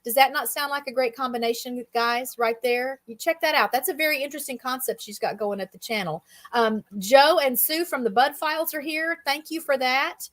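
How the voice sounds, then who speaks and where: A woman with a high voice hosts a relaxed livestream. She chats in a folksy way as she interviews her guest. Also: moderate pace, hardly any pauses. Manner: chatty, folksy. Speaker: livestream host. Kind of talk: casual chat, interviewing a guest.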